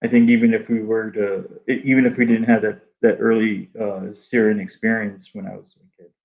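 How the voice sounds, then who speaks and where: Gravelly guy, first language arabic, lots of uh and restarts, kinda muffled audio. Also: on the low side, little pitch movement. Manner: halting, many restarts and fillers. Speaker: guest artist. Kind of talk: casual interview conversation.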